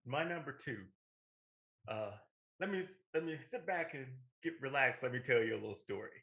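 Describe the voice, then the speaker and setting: Male podcast co-host, bright forceful voice at middle pitch, casual and emphatic storyteller. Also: animated, moderate pace, vocal fry. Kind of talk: casual opinionated chat about films.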